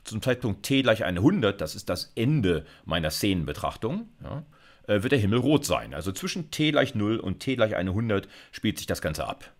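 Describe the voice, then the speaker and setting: A man's voice: lowish voice, sing-song, normal speed. A man, explaining like a university lecturer in an informal, conversational way, often checking in with short tags. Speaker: university computer science lecturer.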